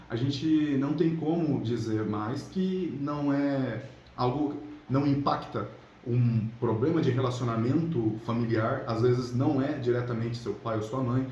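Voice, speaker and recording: A Brazilian man with a mellow, relaxed voice talks casually, like a coach motivating viewers. He speaks in a colloquial, conversational way, often checking in with the listener. The room echoes around him and there is audible background noise. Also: mid-range voice. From São Paulo state, Brazil. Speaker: relationship coach, channel host. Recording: roomy echo, clear background noise.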